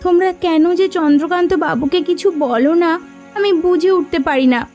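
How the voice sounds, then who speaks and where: Very high-pitched, insistent village wife voiced by a woman. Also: a little fast, darkish tone, faint music behind. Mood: anxious, nagging. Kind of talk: Bengali cartoon folk-tale voice acting.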